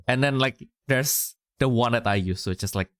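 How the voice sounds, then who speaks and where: A man's voice: mid-range voice, normal speed, dry recording, clean studio sound. A man from Indonesia co-hosting a podcast, chatting casually with lots of fillers and laughter. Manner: rambling, many fillers, frequent laughter. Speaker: podcast co-host. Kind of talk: casual chat.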